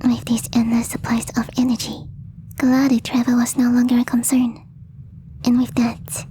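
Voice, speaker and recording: A woman plays a devoted young alien girl in an ASMR audio drama, whispering softly and close to the mic. Her voice is high and mellow, with hesitant, apologetic, formal phrasing. Some noise sits behind the voice. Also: close to an everyday voice, poor audio. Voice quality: soft, breathy whisper. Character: gentle, devoted young female alien posing as exchange student.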